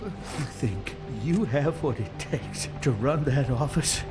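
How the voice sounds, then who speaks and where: A man's voice: medium pitch, lively intonation, slow. A man voicing a bitter, self-justifying, cowardly bureaucrat in a video game, theatrical and indignant. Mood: bitter, defiant. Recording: loud music under the voice.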